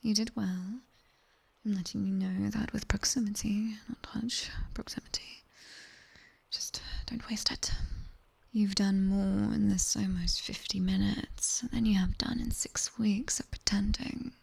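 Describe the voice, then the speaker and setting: A British woman playing a sharp-tongued nightmare demoness tutor in an ASMR roleplay, whispering breathily and close to the microphone with clipped, teasing authority. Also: medium pitch, steady tone, gravelly, breathy edge, full character voice. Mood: dry, teasing. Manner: slow, clipped instructions with dry, teasing praise. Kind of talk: ASMR roleplay, guided sleep session. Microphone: close binaural microphone.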